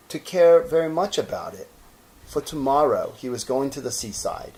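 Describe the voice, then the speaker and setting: A man reading a children's story dramatically and expressively, lingering over short phrases with pauses. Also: medium pitch, a little mellow, rough recording. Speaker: storybook narrator, actor.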